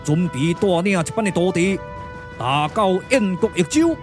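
A man's voice: high voice, very animated, music in the background. A man voicing a proud, wrathful ancient Taoist immortal in a Taiwanese puppet-theatre radio drama, declaiming grandly with a Taiwanese accent. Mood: indignant, menacing. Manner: grandiose, declamatory, drawn-out chanting.

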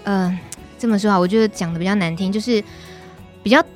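A woman with a Taiwanese Mandarin accent hosts a conversational interview. Her pitch is average for a woman and her delivery is informal. Faint music plays in the background. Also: quick pace. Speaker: radio show host. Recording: music playing behind the voice.

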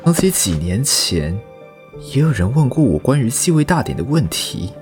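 Man playing animated, scholarly professor, music behind. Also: mid-range voice, close to an everyday voice. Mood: pondering. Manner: measured, scholarly, pondering. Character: thoughtful, courteous male university professor. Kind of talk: audio drama acting.

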